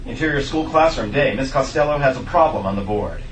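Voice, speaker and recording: A man with a medium-pitched voice reads short stage directions at a table read. He is recorded from a distance in an echoey room with clear noise. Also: animated, a little fast. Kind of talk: scripted narration.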